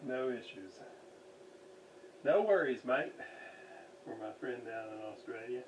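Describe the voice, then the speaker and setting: A man giving an unscripted hands-on demonstration at a workbench, halting as he thinks aloud while he works. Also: mid-range voice, lively intonation. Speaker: radio restoration hobbyist, demonstrator. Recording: distant mic with faint background noise.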